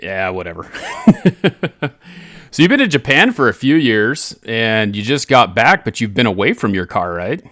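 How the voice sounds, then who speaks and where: A man's voice: lowish voice, very animated, a little slow, fairly bright tone, no room sound, studio quality. An American man hosting a podcast, interviewing a guest in an informal, conversational way. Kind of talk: casual interview chat.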